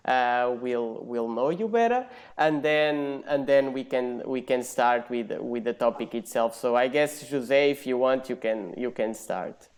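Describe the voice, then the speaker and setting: A man's voice: mid-range voice, a little slow. A man from Portugal, a native Portuguese speaker, hosting a casual group talk in a hesitant, self-deprecating manner. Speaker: host of an online talk. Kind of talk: casual moderated group chat.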